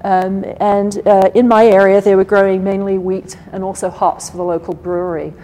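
A soft-spoken woman with an English accent giving an academic lecture at a podium, measured and full of fillers. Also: mid-range voice, level tone. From England. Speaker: guest lecturer. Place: lecture hall.

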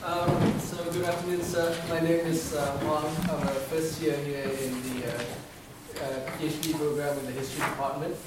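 A young man from Vietnam asking a polite, measured question from the floor at a lecture. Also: fairly high pitch, level tone. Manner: polite, measured, some fillers. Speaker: audience member asking a question. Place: lecture hall. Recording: echoey hall, far from mic, noisy.

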